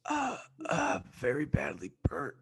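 A man's voice: fairly high pitch, wildly swooping pitch, measured pace, vocal fry, a bit bright, slightly rough, heavily acted. A man voicing a desperate, self-pitying man on fire in an improvised comedy sketch, stammering and wailing. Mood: pained, aggrieved.

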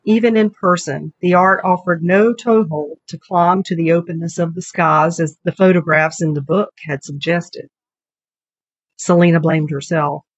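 Low-voiced woman reading a story, slightly dull audio. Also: steady tone, moderate pace, slightly rough, no room sound. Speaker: writer reading own short story. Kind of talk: scripted literary narration. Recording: dull lo-fi audio, background noise.

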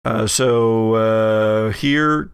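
A man playing a harried male protector in an audio game, giving practical instructions in a halting, rambling way. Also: on the low side, clear, resonant tone, dry recording, studio quality.

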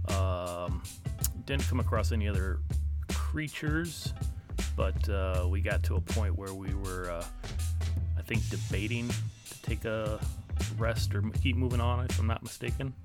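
Low-voiced man, relaxed player. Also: measured pace, pauses often, loud music behind the voice. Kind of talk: casual game chat.

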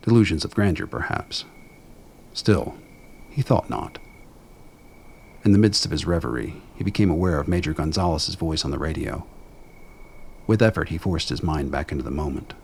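Male narrator, extremely low pitch, gravelly fry, measured brooding delivery, scripted drama. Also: animated, darkish tone, slight background noise.

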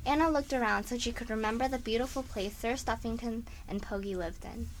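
A woman's voice: fairly high pitch, fairly quick, low-quality recording. A woman reading scripted narration for a children's story as the narrator. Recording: faint background noise.

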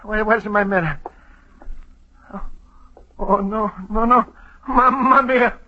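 An actor playing a naive, excitable Italian immigrant who speaks broken English with a heavy Italian accent, stammering in an old-time radio comedy. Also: male voice, high voice, slow pace, muffled recording. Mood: dismayed.

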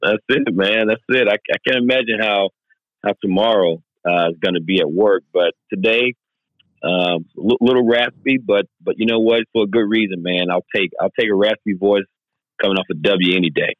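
Hoarse, raspy low-voiced man. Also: normal speed, no echo, phone-quality sound. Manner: animated and emphatic, says "man" a lot. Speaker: podcast co-host. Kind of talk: casual sports chat.

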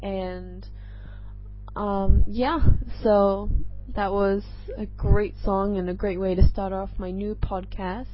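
Young english-born woman w/ a mostly american mishmash accent, slow nervous rambly podcast chat, lots of ums, dull lo-fi sound. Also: mid-range voice, little pitch movement. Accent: mixed, mostly American-sounding. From England. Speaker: podcast host. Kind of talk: casual solo chat. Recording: dull lo-fi recording, faint music.

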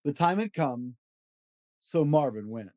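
A man's voice: on the high side, expressive, unhurried, a little mellow, no echo. A man hosting a children's story podcast, reading aloud expressively with an emphatic, rhythmic delivery.

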